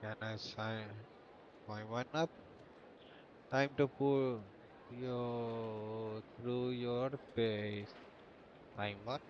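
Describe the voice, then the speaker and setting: A man's voice: mid-range voice, steady tone, very slow, long pauses, noisy background. A man giving casual gameplay commentary as a streamer, in short offhand remarks. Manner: offhand short remarks, mixes Hindi and English. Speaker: gaming streamer. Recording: dull lo-fi voice track under game music. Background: game music.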